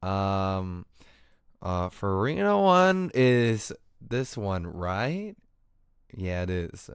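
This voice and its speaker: Animated young man chatting on a livestream. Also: sits low, drawn-out words, smooth, no echo, clean studio sound. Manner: slangy, swearing, rambling, repeats "like". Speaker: game streamer. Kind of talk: casual livestream chat while gaming. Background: game music.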